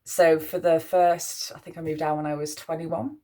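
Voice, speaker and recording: Low-voiced Mancunian woman musing softly. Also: level tone, moderate pace. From Manchester, England. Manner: musing, soft-spoken, with frequent restarts. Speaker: priestess. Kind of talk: spoken reflection on spiritual values.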